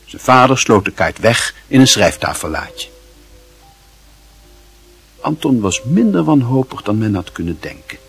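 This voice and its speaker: A man narrating literary fiction as an expressive audiobook storyteller. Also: mid-range voice, unhurried, vocal fry, pauses often, breathy edge, faint music behind.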